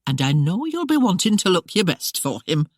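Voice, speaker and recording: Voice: mid-range voice, very animated, normal speed, dry recording, clean studio sound, pitched above the performer's own voice. A reader doing a coaxing old-fashioned housekeeper. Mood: urgent. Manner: rustic old-fashioned speech. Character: coaxing older housekeeper woman, gentle but insistent.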